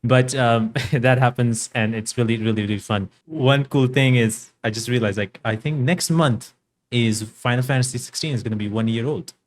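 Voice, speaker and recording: A man's voice: mid-range voice, moderate pace. A man hosting a podcast interview, speaking in an enthusiastic, upbeat way. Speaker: podcast host. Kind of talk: interview and show plug.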